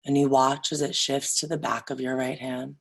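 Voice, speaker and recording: A woman leading a guided yoga nidra meditation in a soothing, deliberate way, leaving long pauses between phrases. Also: extremely low pitch, level tone, gravelly, breathy edge.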